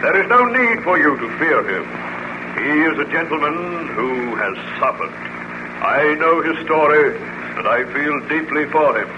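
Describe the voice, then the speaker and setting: A man playing a firm, loyal sea captain with a formal, measured, commanding manner, in 1930s radio drama style. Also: on the high side, expressive. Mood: stern, appealing. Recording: dull, noisy 1930s radio recording.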